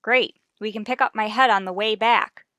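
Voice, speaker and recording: A woman's voice: mid-range voice, steady tone, normal speed, sharp tone, no room sound, natural voice. An actress playing a wry, wisecracking woman English teacher with dry, sardonic delivery in a 1950s radio sitcom. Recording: old radio broadcast recording.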